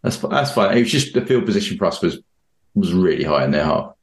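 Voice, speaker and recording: A man with a very low-pitched voice discusses football as a podcast analyst. His talk is informal and conversational, and the recording has a clearly roomy echo around him. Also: lively intonation, quick pace. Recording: roomy, echoing sound.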